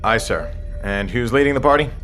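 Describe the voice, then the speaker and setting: An actor playing a cautious submarine second-in-command in an audio drama, formal and deferential but firm. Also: male voice, medium pitch, lively intonation, brisk, a bit bright, faint music behind, lightly acted. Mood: dutiful, formal. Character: dutiful, cautious male submarine executive officer.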